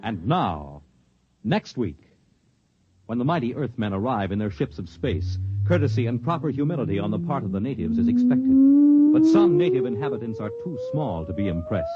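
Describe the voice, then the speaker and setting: A man's voice: mid-range voice, huge pitch swings, moderate pace, dull audio, heavy background noise. A man announcing in a formal, theatrical 1950s radio style, reading from a script. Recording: band-limited 1950s radio recording, music behind. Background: music.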